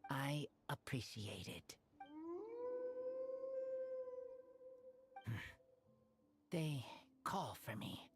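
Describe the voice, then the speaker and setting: An actor playing an earnest wolf-raised boy in a video game, speaking in halting, simple phrases. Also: mid-range voice, expressive, heavy background noise. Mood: quiet, grateful. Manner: halting, clipped short phrases. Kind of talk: video game character acting.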